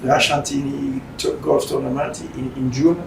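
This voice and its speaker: A man from Belgium with a French-speaking Belgian accent, giving a semi-formal speech as a company director in halting, non-fluent English. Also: flat delivery, creaky. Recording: roomy, distant mic with faint rumbling noise.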